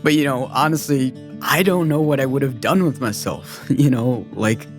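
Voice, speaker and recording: A man's voice: medium pitch, normal speed, faint music behind. A young American man talking candidly and informally about himself in a reflective personal conversation. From United States, born in Guatemala. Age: young adult. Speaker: podcast co-host telling his own life story.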